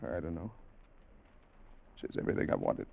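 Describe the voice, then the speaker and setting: An actor playing a grieving young sailor, speaking in halting, broken lines in 1940s radio drama style. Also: male voice, rumbling low, very animated, gravelly, full character voice. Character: grieving young male sailor Charlie, heartbroken. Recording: dull old radio recording.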